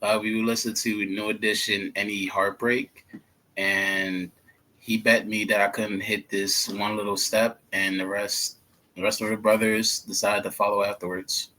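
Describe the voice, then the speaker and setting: A man's voice: on the low side, flat delivery, a little slow, sharp tone, rough recording. A man in his twenties, a singing group member, answering interview questions plainly. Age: 20s.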